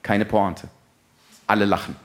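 A man's voice: on the low side, steady tone, unhurried, heavy background noise. A man performing a live comedic reading of a long joke, theatrically switching between quoted characters.

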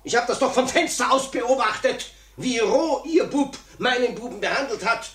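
A man's voice: very high voice, expressive, normal speed, a bit bright. An actor playing an indignant, pedantic father who sputters with outrage in a 1950s German radio comedy. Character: indignant, huffy father defending his wimpy son. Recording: roomy 1950s radio play recording.

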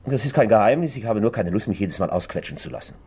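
An actor playing a shy, self-deprecating young private detective in a radio crime drama, speaking hesitantly with stammers. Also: male voice, fairly low pitch, expressive, quick pace, warm tone, slightly rough, exaggerated voice. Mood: defensive.

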